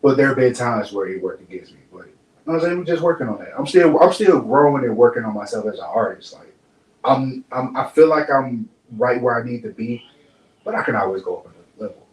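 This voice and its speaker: Brief: laid-back young rapper from Dallas, slangy and rambling, mic set back in an echoey room with faint hum. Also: male voice, mid-range voice, expressive, fast, far from the mic. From Dallas, Texas. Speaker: rapper, podcast guest. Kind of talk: relaxed interview chat.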